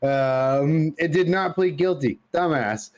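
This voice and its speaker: A man's voice: a little high, takes it slowly. A man co-hosting a podcast, chatting informally with plenty of slang and some swearing. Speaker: podcast co-host. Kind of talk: casual chat about comics, movies and games.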